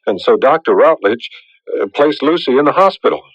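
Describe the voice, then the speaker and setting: An actor playing an anxious, distraught young fiancé in a 1980s radio drama, halting and repeating his words. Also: mid-range voice, lively intonation, no room sound, lightly acted. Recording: muffled old radio broadcast.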